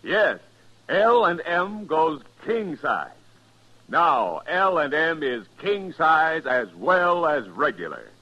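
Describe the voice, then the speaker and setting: A man's voice: a little high, big pitch swings, slow, pushed and bright. A man with an American accent delivering an emphatic 1950s radio advertisement as the commercial announcer. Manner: emphatic salesmanship. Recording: dull, lo-fi vintage radio recording.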